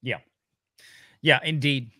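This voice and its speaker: A man's voice: medium pitch, slow, bright, forceful tone, no echo, clean studio sound. A man hosting a casual live panel discussion, chiming in and moving the conversation along. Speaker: panel host.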